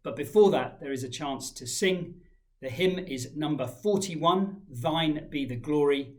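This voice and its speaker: A man in his forties, a head teacher giving a formal school assembly address, measured and reading from a folder in a small room. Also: on the high side. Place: small indoor room with framed pictures.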